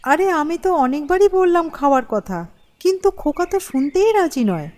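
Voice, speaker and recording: A woman voicing an anxious, doting village mother in an animated ghost story, fretful and coaxing. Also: high-pitched, a little fast. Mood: anxious, urgent.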